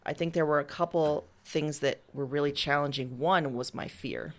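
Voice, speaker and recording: A woman's voice: deep voice, normal speed. A woman giving casual, candid interview answers as a writer, talking informally. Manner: candid, informal, occasional swearing. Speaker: interviewed writer and audio drama creator.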